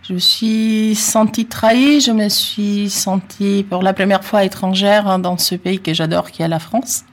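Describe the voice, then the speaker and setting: A middle-aged woman with an Italian accent talks at length in an interview, her voice sitting in a mid range. She is chatty and reflective, pausing often with fillers. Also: mid-range voice, fairly even intonation. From Italy. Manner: talkative, reflective, with frequent hesitations and soft laughs. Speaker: interview guest. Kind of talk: personal conversational interview.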